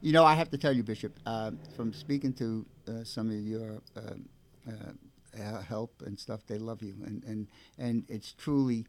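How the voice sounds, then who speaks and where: A man's voice: on the low side, fairly even intonation, normal speed, a little hiss or hum. Effusive man hosting religious radio. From Brooklyn, New York. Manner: effusive, gushing. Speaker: radio show co-host.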